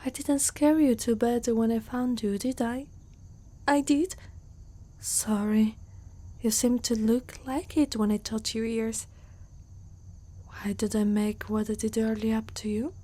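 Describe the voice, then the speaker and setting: A woman playing a gentle, protective centaur girl in an ASMR roleplay, speaking softly and closely to one listener, often near a whisper. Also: fairly high pitch, slow pace, long pauses. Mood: tender. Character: gentle, protective, curious young female centaur.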